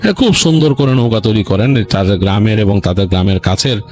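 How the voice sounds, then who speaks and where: A man's voice: fairly low pitch, fast, darkish tone, soft background music. A man with a Bangladeshi accent narrates a story informally, in a colloquial, rambling way with explanatory asides. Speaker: ghost story narrator on a radio-style show.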